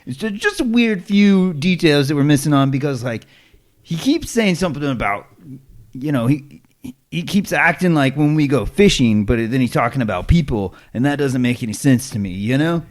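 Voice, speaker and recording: A fairly high-voiced man with a very lively, bouncing pitch, talking as a player at a tabletop game. He speaks loosely and hesitantly, often breaking into giggles. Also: moderate pace.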